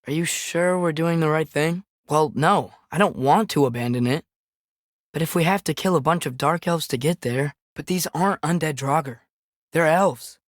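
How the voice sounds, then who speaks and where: A man's voice: fairly high pitch, normal speed, no echo, studio quality, lightly acted. A young male actor voicing an earnest, compassionate teenage boy adventurer in a video game, with an American accent and a questioning, casual way of talking. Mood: curious, concerned. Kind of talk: naturalistic video game character acting.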